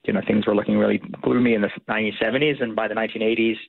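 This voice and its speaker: A man giving measured, policy-focused analysis as a guest in a radio interview, heard over a phone line. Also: lowish voice, flat delivery, gravelly, breathy edge, no echo.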